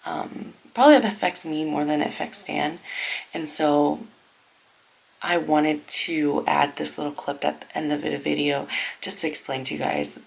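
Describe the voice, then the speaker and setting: A young woman who is a native Vietnamese speaker, chatting casually and haltingly to viewers as a vlogger. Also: deep voice, fairly even intonation, gravelly. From grew up in a Vietnamese-speaking family. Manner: informal, halting, thinking aloud. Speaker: family vlogger. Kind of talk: casual personal vlog chat. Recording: noisy home-video sound with clicks.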